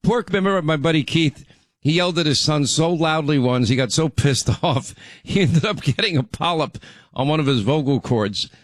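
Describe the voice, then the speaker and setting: A man's voice: fairly high pitch, animated, normal speed, no echo. A middle-aged man hosting talk radio, chatty and rambling, with frequent restarts. His voice is still getting over laryngitis. He is recorded dry and close in the studio. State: recovering from laryngitis. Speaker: radio talk show host. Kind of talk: casual talk radio monologue.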